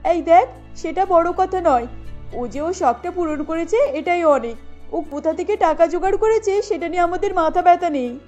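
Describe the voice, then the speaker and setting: Easygoing young show-off: casual, high voice, dull sound with music behind. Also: female voice, normal speed, a little mellow. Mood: dismissive. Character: boastful, easygoing young female friend Rani. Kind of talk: cartoon voice acting.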